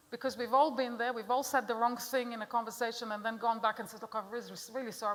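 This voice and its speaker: A woman's voice: fairly high pitch, a bit bright. A middle-aged woman from Israel with an Israeli accent gives an earnest, direct talk to an audience and puts questions to them. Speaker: speaker giving a talk. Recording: long hall reverb.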